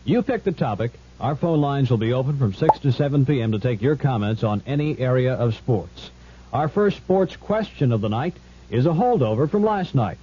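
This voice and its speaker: A man's voice: mid-range voice, lively intonation, normal speed, dark tone, husky. A man hosting a sports radio show, reading a scripted promo. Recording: muffled old radio broadcast.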